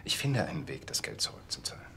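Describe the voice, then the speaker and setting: An actor playing an anxious, defensive suspect in a TV crime series. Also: male voice, fast talker, sharp tone, a little hiss or hum, lightly acted. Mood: pleading. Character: defensive, anxious male church musician suspect. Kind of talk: TV crime drama acting.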